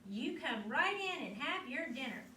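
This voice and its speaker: A woman voicing a jovial storybook grandfather, calling out in a booming, playful, exclamatory way. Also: sing-song, normal speed, pushed and bright, full character voice. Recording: roomy, distant mic with clear background noise.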